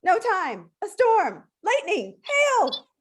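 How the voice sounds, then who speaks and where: A woman playing a bossy, excitable eight-year-old adventurer girl in a table read of an animated film, with a brash, commanding delivery. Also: very high-pitched, slow, full character voice. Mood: excited.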